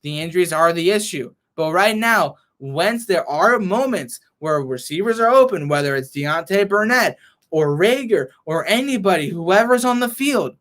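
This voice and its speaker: A young man ranting as a frustrated sports fan vlogger, hoarse and losing his voice, reading and answering chat. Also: high-pitched, expressive, moderate pace, a bit bright. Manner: frustrated, heated rant.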